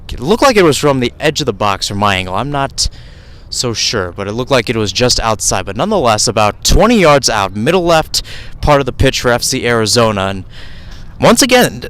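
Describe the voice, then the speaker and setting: A man's voice: medium pitch, sing-song, a little fast, a bit bright. A man giving live radio play-by-play of a soccer match from outdoors at the field, calling it in short running phrases with asides. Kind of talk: live radio sports commentary.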